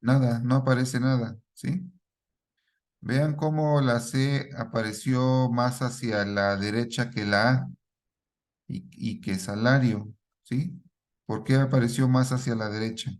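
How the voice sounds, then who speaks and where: A middle-aged man teaching a programming class, quizzing students and checking in with a quick "¿sí?" after each point. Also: mid-range voice, fairly even intonation. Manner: asks the class questions and repeats their answers, ends lines with a checking "¿sí?". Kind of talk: live classroom lesson.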